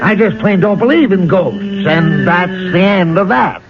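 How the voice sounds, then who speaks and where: A man's voice: high voice, expressive, normal speed, background noise. An actor playing a stubborn, skeptical American businessman father, speaking bluntly and emphatically in a radio drama. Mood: irritated. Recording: muffled, lo-fi old radio recording.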